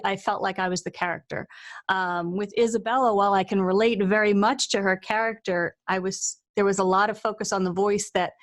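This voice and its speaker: A woman hosts a relaxed, conversational interview. She talks chattily and pokes fun at herself as she goes. Her pitch sits in the middle range for a woman. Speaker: interview host and author. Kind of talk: casual interview chat.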